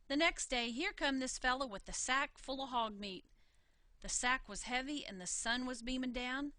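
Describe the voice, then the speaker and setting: A woman reading a folksy tale in an animated storytelling style, full of country dialect. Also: sits high, no room sound. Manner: folksy, dialect-laden telling. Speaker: storybook reader.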